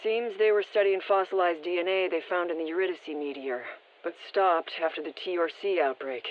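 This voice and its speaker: A woman soldier heard over a muffled radio link in a shooter game. She delivers field intelligence in a matter-of-fact military way. Her voice sits at a medium pitch. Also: fairly quick, dry recording. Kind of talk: scripted video game intel report. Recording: muffled, band-limited radio transmission.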